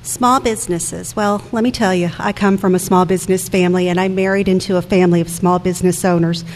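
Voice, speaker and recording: A woman's voice: on the low side, fairly even intonation, fast. A woman in her forties giving earnest campaign remarks as a council candidate, speaking directly to listeners. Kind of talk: prepared campaign remarks at a public forum. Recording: echoey hall with background noise.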